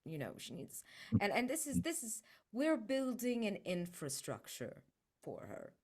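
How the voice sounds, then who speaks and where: A woman playing a tabletop roleplaying game, casually improvising what her character does and says, halting and repeating words as she goes. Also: mid-range voice, wildly swooping pitch, darkish tone, husky.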